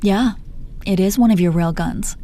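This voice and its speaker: A woman's voice: medium pitch, normal speed, mellow, lightly acted. A woman playing a gloating, menacing female supervillain in a roleplay audio, condescending, sardonic and exasperated, with heavy swearing. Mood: exasperated lecturing. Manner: taunting, condescending pet names, heavy swearing. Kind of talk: dramatic roleplay audio monologue.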